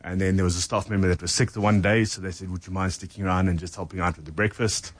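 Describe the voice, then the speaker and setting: A man's voice: deep voice, fast, breathy edge. A man in his thirties from South Africa, a head chef recounting his career step by step in a studio interview. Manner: steady, methodical recounting. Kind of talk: casual interview storytelling. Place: radio studio.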